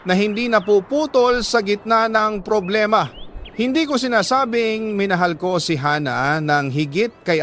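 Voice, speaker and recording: Male Filipino storyteller, slightly high voice, sentimental dramatic narration with pauses, some noise behind. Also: a bit bright. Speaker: radio-style story narrator reading a listener's letter. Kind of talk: dramatic scripted first-person narration of a love story.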